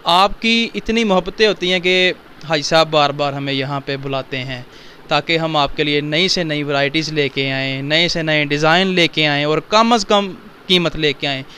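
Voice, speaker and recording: A man's voice: a little high, sharp tone, slight background noise. A man, interviewing a young shop owner in a cloth warehouse on a handheld mic in an upbeat, promotional manner. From Pakistan. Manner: upbeat, promotional, asks quick questions. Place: indoors, small cloth warehouse.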